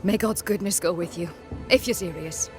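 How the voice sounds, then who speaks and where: Jittery colonial woman doctor, played by an actress; fairly low voice, apologetic and candid, music behind. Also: normal speed, natural voice. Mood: nervous, polite. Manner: flustered, candid, formal archaic phrasing. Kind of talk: dramatic game dialogue.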